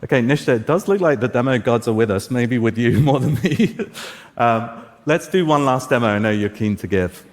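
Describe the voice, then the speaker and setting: A man's voice: mid-range voice, lively intonation, normal speed. A man whose first language is Persian, presenting a keynote demo in an upbeat, scripted way with light jokes. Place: large conference hall stage. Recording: long reverb of a large hall.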